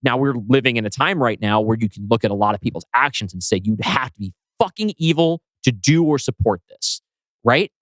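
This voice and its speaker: A man's voice: mid-range voice, animated, fairly quick, no echo, poor audio. A man with a mid-range voice chats in a dry, close recording as a podcast co-host and writer. He explains his ideas casually, throwing in rhetorical questions and the odd swear word. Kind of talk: casual chat about own writing.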